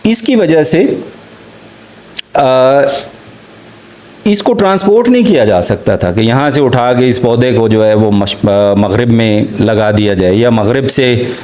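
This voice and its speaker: A man with a Pakistani accent giving a formal academic literature lecture, halting and deliberate, with frequent pauses to find his words. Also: mid-range voice, a little mellow.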